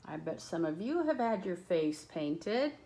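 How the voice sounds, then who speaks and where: A woman reading a picture book aloud with expressive, dramatic emphasis, lingering on words and adding casual asides. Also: lowish voice, measured pace. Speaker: read-aloud storyteller.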